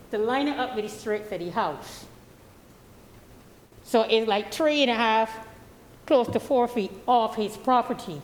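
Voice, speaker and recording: A woman from Barbados, speaking plainly and at length as a resident at a public hearing. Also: fairly high pitch. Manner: rambling, plainspoken, nonstandard grammar. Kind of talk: informal testimony at a public meeting. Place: council meeting chamber. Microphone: podium microphone. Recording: reverberant meeting hall.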